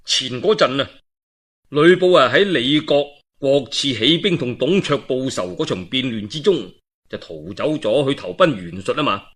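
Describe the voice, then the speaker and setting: A man's voice: medium pitch, moderate pace, husky. A man with a Cantonese accent telling a historical tale in a colloquial, dramatic oral storytelling style. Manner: colloquial, animated, dramatic asides.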